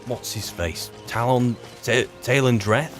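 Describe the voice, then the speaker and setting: A man's voice: medium pitch, a little slow. A man giving casual role-play commentary as he plays, musing and muttering asides to himself. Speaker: gamer playing in character. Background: game music.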